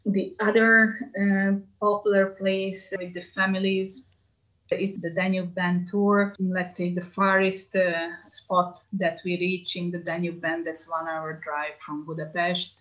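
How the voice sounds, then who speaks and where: Hungarian-accented woman, flat low voice. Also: a little slow, low-quality recording. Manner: many hesitation fillers, chatty. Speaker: tour guide. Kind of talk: interview answers, casual.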